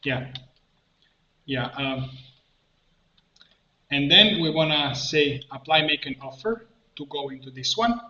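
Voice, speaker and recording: A man presenting an improvised software tutorial, thinking aloud haltingly as he works at a computer. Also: a little high, animated. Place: indoor room at a computer. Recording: dull, echoey room, clear background noise. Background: keyboard typing and mouse clicks.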